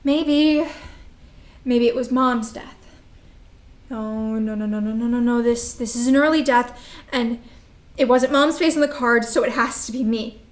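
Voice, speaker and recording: A woman's voice: a little high, measured pace, natural voice. A woman acting a frantic, superstitious young woman in a staged play reading, agitated and repeating herself as she mutters. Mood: panicked, fixated.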